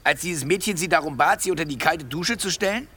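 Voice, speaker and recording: An actor playing an arrogant, suspicious rich husband in a radio play, blunt and condescending. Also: male voice, fairly high pitch, fairly quick, pushed and bright, slightly rough. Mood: sneering, suspicious. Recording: music and crickets behind the voice.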